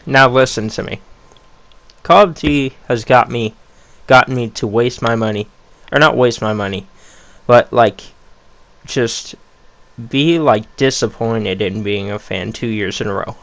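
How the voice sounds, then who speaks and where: A man's voice: mid-range voice, measured pace, long pauses, fairly bright tone, no room sound. Young guy ranting about games, swears a lot, kinda losing his voice, dry close mic. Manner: blunt, swearing, rambling. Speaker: gaming YouTuber. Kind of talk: casual game ranking vlog.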